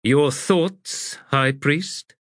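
A man's voice: on the high side, extremely slow, a bit bright, no echo, studio quality, voice pushed up, exaggerated voice. A man voicing a stern female military leader with a clipped, formal manner, in an audiobook.

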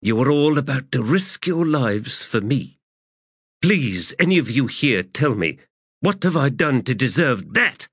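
A man's voice: medium pitch, big pitch swings, unhurried, a bit bright, breathy edge, no echo, studio quality, voice pushed up, heavily acted. A man reading aloud voices a stern woman commander who speaks harshly and presses hard questions. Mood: quiet, pleading. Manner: harsh, rising to fierce questioning.

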